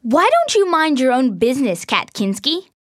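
A bossy, sneering teenage girl, as a woman reading aloud puts her on. Her lines come high and cold, with a dry, close sound. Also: very animated, normal speed, no room sound.

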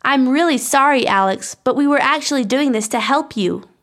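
A woman acts out a teenage schoolgirl from an audiobook story. She is high and bright in voice, with an earnest, insistent manner. She sounds loyal and a little anxious. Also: normal speed. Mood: apologetic, hesitant. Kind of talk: audiobook character dialogue.

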